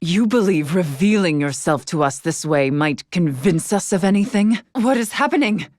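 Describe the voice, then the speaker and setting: An actress playing a dignified, stern, scholarly woman in a fantasy video game, speaking in formal, archaic, measured phrases. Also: lowish voice, expressive, a little mellow, no echo, studio quality, lightly acted. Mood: indignant, alarmed. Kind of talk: scripted video game character dialogue.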